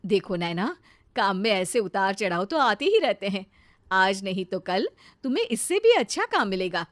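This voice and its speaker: A woman voicing a kind, patient young sister-in-law with a gentle, encouraging manner. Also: mid-range voice, big pitch swings, normal speed, no room sound, clean studio sound, natural voice.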